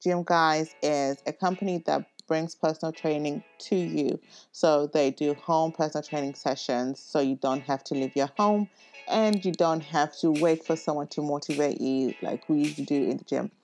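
A woman hosting a podcast, chatting casually with a guest, quick with laughs and rising questions. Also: low voice, a little mellow, soft background music. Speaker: podcast host.

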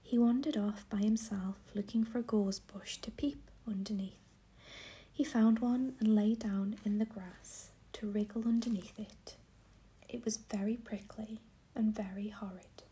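A woman reading a fairy tale aloud in a soft, unvoiced whisper, like a bedtime story. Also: mid-range voice, fairly even intonation, unhurried.

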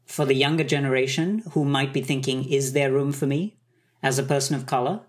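A man from Sri Lanka, a conductor, answering interview questions in a thoughtful, measured way. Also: mid-range voice, steady tone. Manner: thoughtful, measured, some hesitations. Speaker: conductor, guest.

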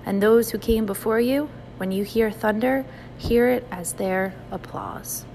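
A woman's voice: fairly high pitch, unhurried, rough recording. A woman reading a poem aloud in a recitation style. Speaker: poetry reader.

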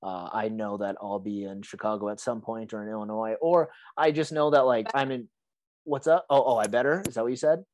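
Raspy young man hosting a podcast. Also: fairly low pitch, fairly even intonation, a little fast, a little mellow, dry recording. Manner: rambling, full of "like" and restarts. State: sick, hoarse. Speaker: podcast host. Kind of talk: casual chat.

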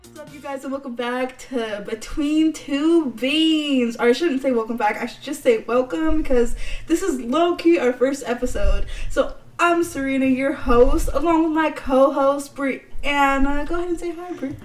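A woman's voice: sits high, unhurried, distant mic, soft background music. A woman in her twenties hosting a casual podcast, chatty and giggly, full of "like" fillers. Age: 20s. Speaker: podcast host. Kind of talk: casual chat.

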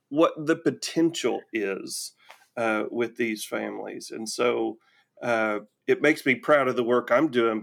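Slow, gravelly-voiced man hosting an interview. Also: mid-range voice. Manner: unhurried, warm praise, halting restarts. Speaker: podcast host. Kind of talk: conversational interview.

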